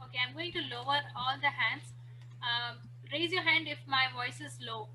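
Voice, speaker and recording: A woman teaching an online class over a video call, pausing often with fillers as she searches for words. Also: sits high, bright, forceful tone, slight background noise, low-quality recording.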